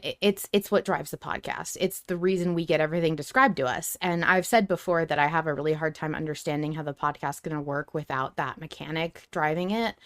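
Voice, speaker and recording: A woman hosting a podcast in casual discussion, thinking aloud in halting phrases. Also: sits low, no room sound, clean studio sound. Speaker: podcast host.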